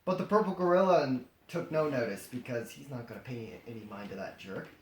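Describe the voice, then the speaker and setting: A storyteller reading aloud comedically, with animated, sarcastic improvised asides. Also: mid-range voice, brisk. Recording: roomy, echoing sound.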